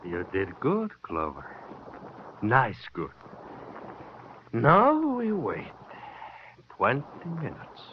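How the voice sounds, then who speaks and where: A man's voice: medium pitch, huge pitch swings, extremely slow, pauses often, noisy background, exaggerated voice. Pelagos, a gloating Greek gunman. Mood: gloating menace. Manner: mocking, broken English, gloating, taunting. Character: menacing Greek gunman, gloating shooting-gallery owner. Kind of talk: 1940s radio acting. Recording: dull lo-fi old radio recording.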